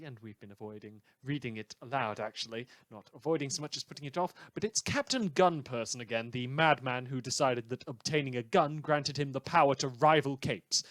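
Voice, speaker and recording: A man's voice: mid-range voice, a bit bright, dry recording, lightly acted. A man playing a sarcastic radio news host in a superhero world, delivering scripted comedy news with theatrical dry asides.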